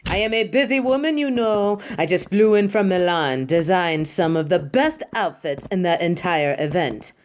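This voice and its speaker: Woman acting a low-voiced, haughty fashion designer. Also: expressive, moderate pace, hardly any pauses, close to an everyday voice. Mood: grand, welcoming. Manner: theatrical, imperious, dismissive, calls listener darling. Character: haughty, busy female superhero costume designer, fond yet scornful. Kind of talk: roleplay audio drama monologue.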